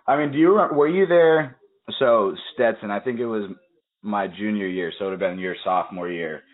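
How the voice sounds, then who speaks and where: Casting: young male podcast host, lowish voice, lively storyteller, phone-quality audio. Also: moderate pace. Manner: energetic, storytelling with quoted asides. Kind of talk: casual interview chat. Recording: tinny phone-like call audio.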